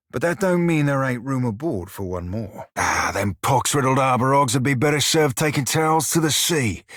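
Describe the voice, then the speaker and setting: Game role: roguish furry sailor, husky growl, bawdy and sardonic, mid pitch, close dry sound. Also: male voice, a bit bright, no echo. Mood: wry, knowing. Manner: salty, colloquial pirate talk, wry and crude. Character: roguish, bawdy, sardonic blue-furred pirate sailor creature. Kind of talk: video game character dialogue and barks.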